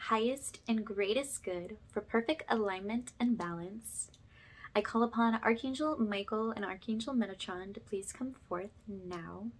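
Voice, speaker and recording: A woman speaking softly and gently as she leads an informal spiritual ASMR ritual, often slipping toward a whisper. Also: a little high. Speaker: host, spiritual energy healer leading a session.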